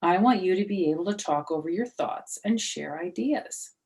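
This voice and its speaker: A woman's voice: on the low side, lively intonation, normal speed, a little mellow. A woman voicing a patient male elementary school teacher in a storybook, instructive, polite but firm.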